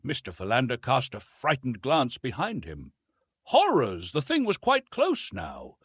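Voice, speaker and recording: A man narrating a classic adventure novel in an expressive, theatrical storytelling manner. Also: mid-range voice, measured pace, slightly rough, no echo, clean studio sound. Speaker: audiobook narrator.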